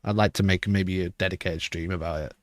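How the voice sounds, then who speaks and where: A man with a rough, hoarse voice, chatting casually on a livestream and rambling with frequent restarts. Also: deep voice, fairly even intonation, a little fast, no room sound. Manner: rambling, restarts mid-sentence. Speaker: livestream host.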